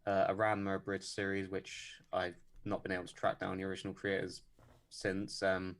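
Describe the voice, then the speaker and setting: A man guesting on a podcast, talking about his animation work in a deadpan, measured way with many pauses and ums. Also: deep voice. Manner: measured, lots of ums and hedges. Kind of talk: casual interview chat.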